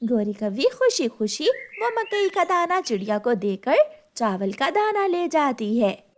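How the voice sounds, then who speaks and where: A woman's voice: high-pitched, very animated, unhurried. A woman narrator reading a scripted children's story for a cartoon.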